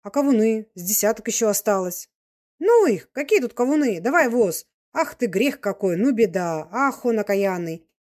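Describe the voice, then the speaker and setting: A woman voicing a loud, tipsy old peasant ex-soldier who rambles in devoted exclamations, for a storybook. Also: mid-range voice, expressive, moderate pace, no echo, studio quality, voice pushed up, lightly acted. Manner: exclamatory, rambling folk speech.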